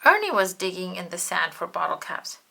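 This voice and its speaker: A woman narrating a children's storybook aloud in an expressive way, made for acting out the characters. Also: lowish voice, normal speed, creaky, slight background noise. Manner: animated, acts out character dialogue.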